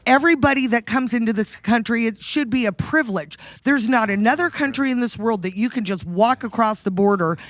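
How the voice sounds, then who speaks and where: A woman presses her case insistently, hammering her numbers home by repeating them. Her pitch is middling, and the sound is dry and close. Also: fairly quick, few pauses, no echo. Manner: insistent, repeats figures for emphasis. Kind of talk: heated debate.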